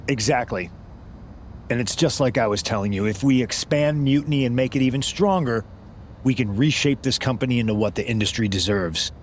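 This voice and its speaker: A man's voice: medium pitch, brisk, slight background noise, close to an everyday voice. A man playing a persuasive, scheming leader of a wrestling faction in a video game. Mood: persuasive, assured. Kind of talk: scripted game cutscene dialogue.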